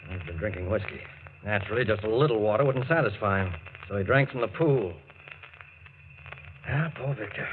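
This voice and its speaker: An actor playing a shrewd, calm male doctor, measured and authoritative in 1940s radio drama style. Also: fairly low pitch, close to an everyday voice. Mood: calm, knowing. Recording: muffled, hissy 1940s radio broadcast.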